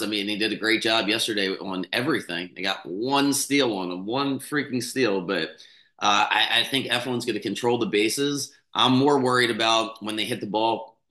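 Slightly hoarse man talking baseball bets. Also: lowish voice, sharp tone, reverberant. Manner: fast, rambling, with restarts and fillers. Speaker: sports betting podcast host. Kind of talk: casual sports commentary. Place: small room with a bookshelf, indoors. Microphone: studio mic on a stand close in front.

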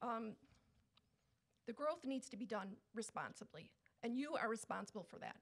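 A woman's voice: fairly high pitch, expressive, moderate pace. A woman reading a prepared statement at a public meeting, speaking in an insistent, admonishing way. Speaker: resident speaking at public comment. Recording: public meeting room microphone.

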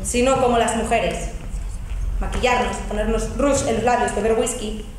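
A woman's voice: fairly high pitch, steady tone, moderate pace, close to an everyday voice. A teenage girl from Spain, playing a prim, coaxing housewife in a school play. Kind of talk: amateur school stage acting. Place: large school hall stage. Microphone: far camera. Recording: echoey hall from far off, hum.

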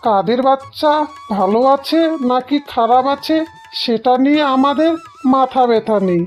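A woman's voice: high-pitched, normal speed, soft background music, close to an everyday voice. A woman voicing a smug, callous middle-aged husband in a cartoon, talking in a dismissive, self-satisfied way. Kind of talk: Bengali cartoon voice acting.

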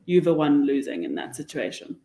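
A woman's voice: low-pitched, very animated, a little fast, creaky, crowd noise. A young woman with a South African accent giving candid, emphatic advice as a panelist. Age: young adult. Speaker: webinar panelist. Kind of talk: panel discussion, casual advice. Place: indoor meeting room. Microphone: table microphone. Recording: roomy, echoey meeting room.

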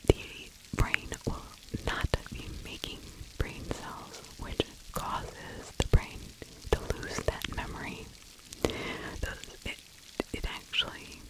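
A middle-aged woman whispering ASMR true crime commentary close to the microphone, hushed and halting with pauses. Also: rough recording. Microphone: close microphone with fluffy windscreen.

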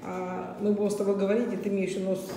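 A woman's voice: mid-range voice, flat delivery, fast, dark tone. A middle-aged woman with a Russian accent chatting casually and asking questions, recorded in a very echoey kitchen-dining room. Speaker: vlogger interviewing a child. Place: hard-surfaced open kitchen-dining room. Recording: very echoey room, distant, noisy.

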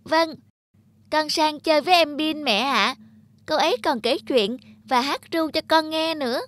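A woman's voice: high voice, measured pace, a bit bright. A woman voicing a sweet, polite little schoolgirl in a cartoon, childlike and innocent. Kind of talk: animated moral tale dubbing.

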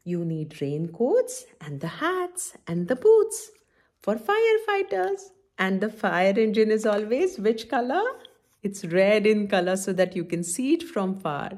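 Children's story-time host: woman, middle-aged, slightly husky, animated intonation, instructive read-aloud with questions to the kids. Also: medium pitch, a little slow. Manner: expressive, instructive, addresses children with frequent questions. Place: indoor room at home, by a window.

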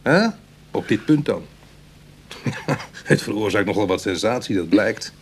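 An actor playing a charming, self-mocking ex-burglar in a Dutch radio drama, with a Netherlands accent and a wry, chatty manner. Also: male voice, on the low side, animated, measured pace, husky. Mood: wry, reflective. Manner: teasing, hesitant asides, chuckling irony.

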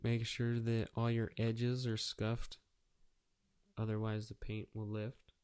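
A man's voice: lowish voice, monotone, takes it slowly, a little mellow, smooth. A man narrating a casual step-by-step how-to tutorial in a plain, practical, matter-of-fact way. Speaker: auto painter giving a how-to tutorial.

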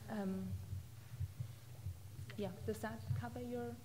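A woman's voice: a little high, steady tone, very slow, noisy background. A woman answering on a panel for a development charity, hesitant and full of fillers.

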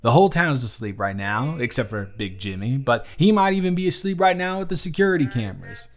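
A man's voice: medium pitch, expressive, fast, close to an everyday voice. A man playing a teasing, affectionate young bartender with a Southern US accent and a folksy manner, in a romantic audio roleplay. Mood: earnest, encouraging. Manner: folksy, playful, affectionate drawl. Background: music.

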